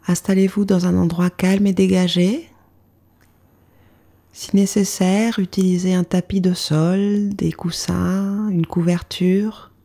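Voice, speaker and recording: A woman leading a guided meditation as a yoga instructor, speaking in a calm, soothing way with soft phrase endings. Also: on the low side, takes it slowly, gravelly.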